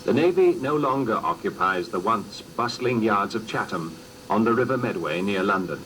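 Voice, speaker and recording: Male narrator for a biographical documentary: slightly low voice, animated intonation, formal scripted delivery, faintly noisy cassette sound. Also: normal speed. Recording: noisy old cassette recording.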